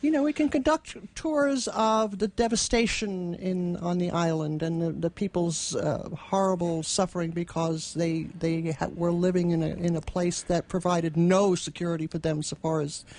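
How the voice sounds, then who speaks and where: A woman's voice: fairly low pitch, fairly even intonation, moderate pace, few pauses, darkish tone. A woman co-hosting a casual radio chat, talking in an amused way from a station studio. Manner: amused, chuckling as she talks. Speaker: radio co-host.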